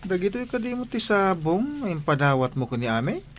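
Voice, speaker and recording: A man's voice: high-pitched, big pitch swings, a little fast, a little hiss or hum. A man playing a chatty, friendly neighbour in an Ilocano radio drama. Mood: friendly, curious. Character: friendly chatty male neighbour admiring flowers.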